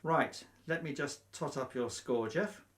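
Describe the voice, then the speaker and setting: A male actor playing a breezy, nosy, officious vicar in a radio comedy, briskly polite and openly biased. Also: mid-range voice, lightly acted. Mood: smoothly patronising. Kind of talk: radio play comedy acting.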